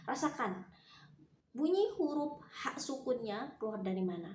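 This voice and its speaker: A woman Quran teacher from Indonesia giving a lesson for children, patiently repeating sounds slowly to demonstrate them. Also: fairly high pitch, big pitch swings, darkish tone, a little hiss or hum. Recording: echoey room with faint ambience.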